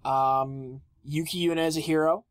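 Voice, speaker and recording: A man co-hosts a podcast in a fairly high voice, recorded dry. He talks casually and haltingly, with a teasing streak. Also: steady tone, clean tone, dry recording. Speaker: podcast co-host.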